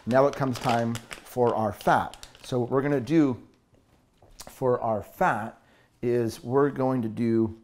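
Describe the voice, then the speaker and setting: A man's voice: mid-range voice, steady tone, slow pace, a little mellow, slight background noise. A man in his thirties, a fitness coach, talking through a meal in his home kitchen, earnest and upbeat, explaining step by step. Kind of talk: cooking demo talk to camera.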